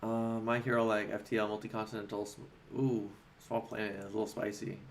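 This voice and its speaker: A man hosting a casual livestream, talking informally and haltingly as he thinks aloud and answers chat. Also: lowish voice, little pitch movement, slight background noise.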